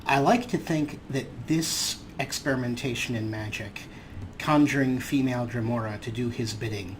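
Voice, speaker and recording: A man with a middle-pitched voice talks through his gameplay in a relaxed, explaining way, slipping in dry, wry jokes. Clear background noise runs behind his voice. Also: normal speed. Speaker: let's play host. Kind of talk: casual game commentary.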